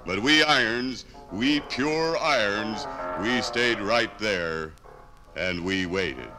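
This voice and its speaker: A man playing ancient iron ore as a proud, patient character in a 1950s radio drama, speaking deliberately. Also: on the low side, poor audio. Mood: proud, steadfast. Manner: slow, proud, deliberate storytelling. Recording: vintage radio broadcast with music.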